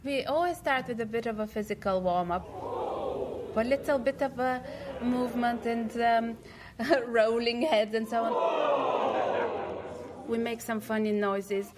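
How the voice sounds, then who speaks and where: A woman's voice: fairly high pitch, unhurried, pauses often, heavy background noise. A woman, a choir leader explaining her craft in an interview.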